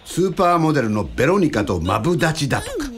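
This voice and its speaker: A man's voice: fairly high pitch, wildly swooping pitch, fast, a crowd in the background. An actor playing a self-doubting, grumbling ordinary man in a radio drama, casual, blunt and easily flustered. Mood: casual, reluctant.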